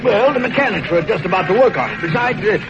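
A man's voice: high-pitched, expressive, quick pace. An actor playing a nervous, evasive used car salesman in a 1940s radio drama, hesitant and eager to please. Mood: flustered, evasive. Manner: hesitant, flustered, obsequious. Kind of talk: 1940s radio comic character acting. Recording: muffled vintage radio, loud noise.

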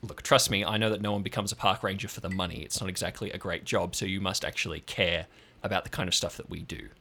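A man's voice: low-pitched, steady tone, fast talker, creaky, pushed and bright, voice pushed down, heavily acted. Man playing a stern, blunt female ranger chief. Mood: earnest, commanding. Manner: abrupt, firm, authoritative. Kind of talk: improvised audio drama acting.

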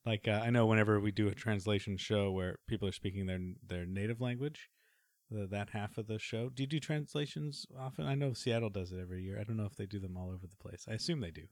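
A man's voice: fairly low pitch, fast talker, a little mellow, no echo, clean studio sound. A man hosting a podcast, chatting casually in a rambling, thoughtful way full of fillers. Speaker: podcast host.

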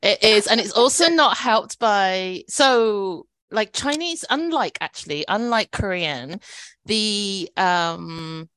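A woman's voice: medium pitch, lively intonation, takes it slowly, a bit bright, dry recording, low-quality recording. A woman from Britain, a native Cantonese speaker with a British accent, co-hosting a podcast and patiently explaining in casual chat. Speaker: podcast co-host.

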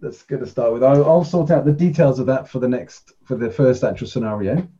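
Mellow, soft-toned man running a tabletop game. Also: mid-range voice, fairly quick, highs cut off. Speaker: game master running a tabletop role-playing game. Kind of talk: casual game-running talk with rules explanations.

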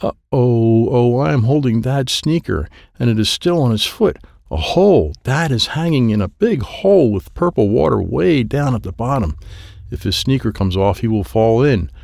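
A man voicing an excitable, anxious little boy who tells his own adventure in simple, breathless phrasing, as dramatic audiobook narration. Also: medium pitch, normal speed, vocal fry, dry recording, studio quality. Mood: scared. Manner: simple, breathless present-tense childlike phrasing.

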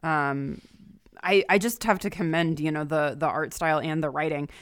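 A woman's voice: low-pitched, moderate pace. A woman in a casual, informal discussion, speaking with enthusiasm as a podcast host. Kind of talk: casual chat.

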